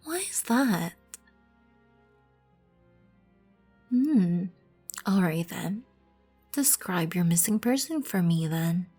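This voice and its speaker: A woman playing a proud, composed vampire queen in an ASMR roleplay, with an imperious, formal manner and a breathy, husky voice. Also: lowish voice, lively intonation, slow, warm tone, heavily acted. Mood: curious, probing. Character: regal, composed, proud vampire queen, protective of her colony. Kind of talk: ASMR roleplay, scripted second-person acting. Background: background music.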